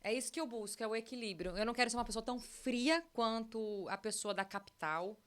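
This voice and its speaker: A woman's voice: on the high side, fast. A woman in her forties with a São Paulo countryside Brazilian accent, a guest talking freely on a podcast and often breaking off mid-sentence. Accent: São Paulo countryside Brazilian Portuguese. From interior of São Paulo state, Brazil. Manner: chatty, animated, breaks off and restarts. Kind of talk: casual podcast chat. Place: farm in the countryside.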